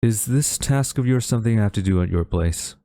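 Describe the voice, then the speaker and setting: Deep-voiced, grumbling tsundere college guy played by a man. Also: expressive, mellow, dry recording, studio quality. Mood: hesitant, nervous. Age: young adult. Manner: flustered, grumbling, halting denials. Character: tsundere young male university student rival, defensive, secretly smitten. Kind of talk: romantic audio roleplay acting.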